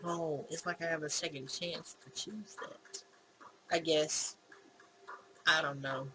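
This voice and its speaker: A gaming host of medium pitch plays through a visual novel and reacts aloud. The pace is unhurried, with exclamations and asides to themselves. Clear noise sits behind the voice. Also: fairly even intonation. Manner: exclaims and comments to self while playing.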